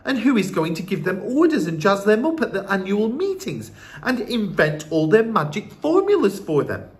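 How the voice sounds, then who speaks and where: Man voicing an excitable, high-pitched young boy. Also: normal speed. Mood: eager questioning. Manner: emphatic, exclaiming and questioning. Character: excitable young boy turned mouse, anxious and eager.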